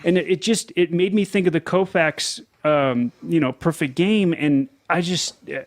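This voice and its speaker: A man, a sports broadcaster appearing as a guest, talking earnestly and reflectively in measured, sometimes repeated phrases. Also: on the high side. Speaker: guest, baseball play-by-play broadcaster. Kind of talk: reflective casual talk.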